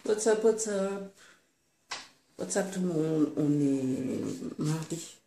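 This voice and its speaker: A woman with a Senegalese French accent, congested with a cold, chatting casually to her vlog viewers in a hesitant, pausing way. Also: low voice, lively intonation, warm tone, reverberant, a little hiss or hum. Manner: chatty, hesitant with many pauses. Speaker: vlogger.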